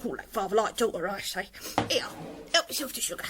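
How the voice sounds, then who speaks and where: A woman's voice: medium pitch, expressive, a little fast, background noise, natural voice. A woman playing a motherly, gossipy older cafe keeper in a vintage radio drama, folksy, colloquial and opinionated. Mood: gossipy, scornful.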